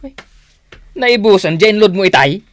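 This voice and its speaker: An actor playing a put-upon neighbourhood husband in a radio comedy drama. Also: male voice, sits high, lively intonation, a little slow. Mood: grumbling. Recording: music bed behind radio drama dialogue.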